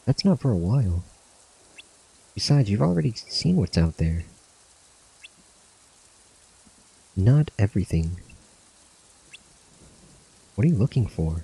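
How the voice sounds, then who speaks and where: A man's voice: on the low side, sing-song, measured pace, vocal fry, long pauses, dark tone, husky, exaggerated voice, poor audio. A man reading out game cutscene dialogue, voicing earnest young student warriors in a fantasy story. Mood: wistful, friendly. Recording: music under the voice.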